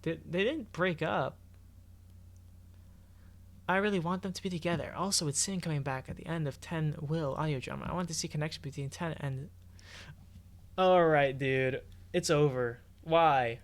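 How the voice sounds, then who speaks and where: A man's voice: a little high, normal speed. A man chatting casually on a livestream in an informal, slangy way as he reacts to what he reads. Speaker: game streamer. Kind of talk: casual livestream chat reacting to forum posts. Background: background music.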